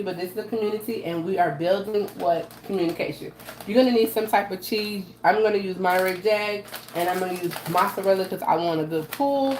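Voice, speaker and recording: A woman with a raspy voice from allergies, hosting a casual live cooking demo and chatting to viewers with frequent "okay?" asides. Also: medium pitch, a little mellow. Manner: chatty, repeats "okay?" to her audience. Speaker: host of a live cooking stream. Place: home kitchen. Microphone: camera she adjusts by hand. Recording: roomy echo, faint background noise.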